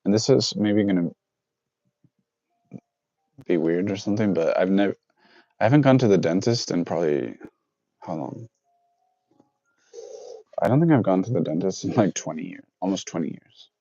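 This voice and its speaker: A man's voice: low-pitched, expressive, moderate pace, pauses often, dark tone, dry recording. A man in his thirties chatting casually with viewers on a livestream, rambling and correcting himself as he goes. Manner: rambling, self-correcting, reads out chat. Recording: dull lo-fi dry sound.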